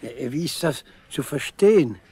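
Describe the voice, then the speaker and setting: A man's voice: fairly high pitch, lively intonation, mellow, breathy edge, no room sound. An actor playing a weary, proud old village father in a 1970s German radio play, speaking hesitantly and plainly. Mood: puzzled, polite. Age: older. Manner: hesitant, halting, plain-spoken.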